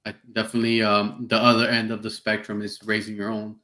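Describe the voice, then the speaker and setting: A man's voice: fairly low pitch, quick pace. Man hosting podcast in echoey room. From South Florida. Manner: relaxed, hesitant, frequent "um" and "you know". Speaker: podcast host. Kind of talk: casual podcast interview.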